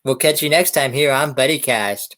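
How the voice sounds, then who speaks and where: A man's voice: medium pitch, moderate pace, a bit bright. A man hosting a podcast interview in a friendly, conversational style, putting questions to a guest.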